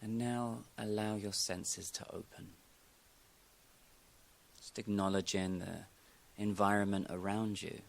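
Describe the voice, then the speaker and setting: A man speaking as a yoga nidra teacher, giving calm, unhurried guided meditation instructions with long pauses. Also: lowish voice, gravelly.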